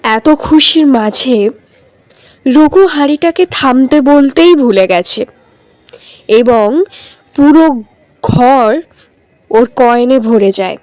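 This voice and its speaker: A woman's voice: high voice, animated, slow, long pauses, a little mellow, dry recording, poor audio. A woman narrating a scripted cartoon story as storyteller.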